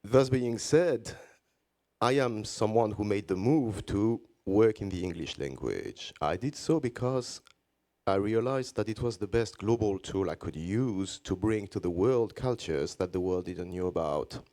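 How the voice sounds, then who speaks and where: Man, Belgian French accent, native French speaker, very deep voice, conference presenter, deliberate pauses. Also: lively intonation, mellow. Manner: measured, deliberate, with frequent pauses.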